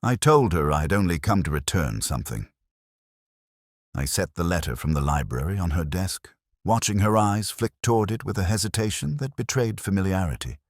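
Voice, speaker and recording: A man with an exceptionally deep voice narrates a literary mystery in the first person. His delivery is measured and brooding, yet the intonation moves widely. The sound is dry and close, with no room around it. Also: animated, no room sound.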